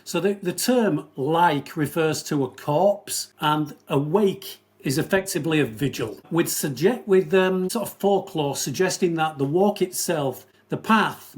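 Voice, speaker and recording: A man from northern England speaks as a guest with a slightly raised pitch. He explains and tells stories in a steady, measured way and often turns to the host by name. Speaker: guest, event organizer. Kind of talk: interview answers.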